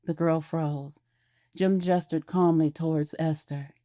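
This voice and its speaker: Low-voiced woman narrating a drama. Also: steady tone, warm tone, dry recording, studio quality. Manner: measured storytelling. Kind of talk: scripted audio drama narration.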